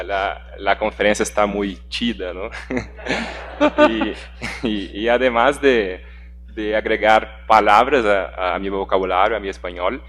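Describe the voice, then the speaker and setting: Low-voiced man, halting, echoing hall. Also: a bit bright. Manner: halting, repeats words, laughs with the audience. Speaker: guest presenter. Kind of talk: conference presentation. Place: large conference hall. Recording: long hall reverb with faint hum.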